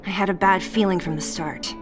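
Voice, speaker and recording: A woman's voice: on the low side, steady tone, a little fast, lightly acted. An actress playing a feisty, protective young woman in a video game, blunt, brash and impatient in the way she talks. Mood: frustrated. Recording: guitar music behind the voice. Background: plucked guitar music.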